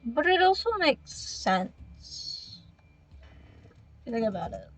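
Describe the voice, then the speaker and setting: A woman streaming a game with casual commentary, reacting and rambling in a halting way. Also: fairly high pitch, sing-song, husky, soft background music, poor audio.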